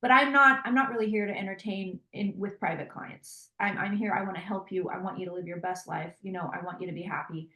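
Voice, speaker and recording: A woman who reads tarot, telling stories in an animated, conversational way with frequent restarts. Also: medium pitch, fairly quick, few pauses, reverberant, low-quality recording. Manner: animated storytelling with many restarts. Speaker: psychic tarot reader. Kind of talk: interview conversation.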